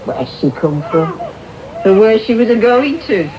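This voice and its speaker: An actress playing a kindly old working-class workhouse midwife, crooning and colloquial, in a naturalistic radio drama. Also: female voice, mid-range voice, sing-song, a little slow, lo-fi sound. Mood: motherly. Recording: very noisy medium-wave radio recording.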